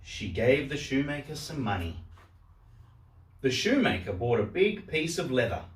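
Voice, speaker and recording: A man reading a storybook aloud for children in a simple, deliberate way. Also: mid-range voice, big pitch swings. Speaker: storyteller, host of a story channel. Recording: roomy echo, distant microphone.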